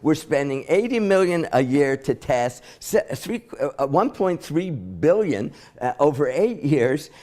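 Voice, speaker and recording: A man's voice: on the high side, sing-song, measured pace, a little mellow. An American man, a state senator, arguing critically in an interview with emphatic repetition. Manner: emphatic, repeats words.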